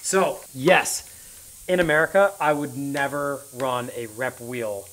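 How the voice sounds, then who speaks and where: A man in his thirties hosting a car vlog, talking fast and with energy while working in a tire workshop. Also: mid-range voice, a bit bright, rough recording. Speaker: car builder and vlog host. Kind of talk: casual on-camera vlog. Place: indoor tire workshop garage.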